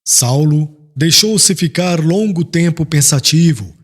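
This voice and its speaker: A man's voice: fairly high pitch, animated, slow. A man narrating a literary audiobook in a formal, dramatic manner.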